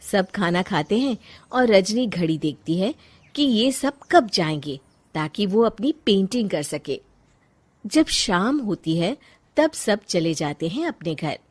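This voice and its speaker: A woman narrating a scripted story for an animated moral tale. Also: mid-range voice, slow pace.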